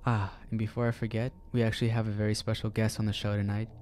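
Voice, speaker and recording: A man reading as a breathy, sultry TV cook. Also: little pitch movement, fast, a little mellow, slight background noise, lightly acted. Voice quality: breathy, low, husky. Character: seductive, unhinged woman cooking show hostess.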